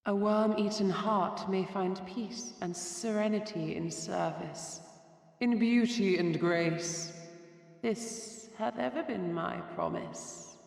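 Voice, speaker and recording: Slow, echoing, haughty goddess. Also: female voice, medium pitch, gravelly, darkish tone. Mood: serene, coaxing. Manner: archaic, stately, lofty speech. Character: serene, haughty false goddess, seductive and condescending. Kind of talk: dramatic video game voice acting. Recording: echoing hall reverb, distant.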